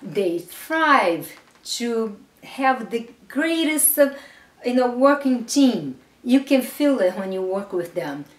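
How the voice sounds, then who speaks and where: Woman, middle-aged, highish voice, emphatic promotional talk, distant echoey mic. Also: very animated, slow. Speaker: business presenter pitching investments. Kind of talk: unscripted promotional talk to camera. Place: small bare room indoors. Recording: echoey room, off-mic, faint noise.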